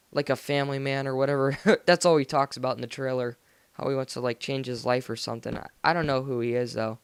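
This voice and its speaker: A man's voice: medium pitch, smooth, no room sound. A teenage American male chatting casually as he narrates a gaming video, rambling in an informal, slangy way. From United States. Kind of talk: casual game commentary. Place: voice-over recorded indoors after gameplay.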